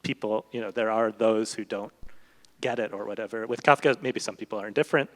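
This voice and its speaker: A man's voice: fairly low pitch, a little fast, fairly bright tone. A man speaking as a literary translator and guest in an on-stage conversation, thoughtful and digressive, often searching for his words. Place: large hall, public event. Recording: reverberant hall.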